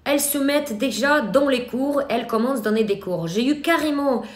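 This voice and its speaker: A woman's voice: sits high, a little fast. A woman from Ukraine with a Ukrainian accent, an eyelash extension trainer giving a live online workshop, hesitating often with filler sounds and restarts. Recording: echoey room.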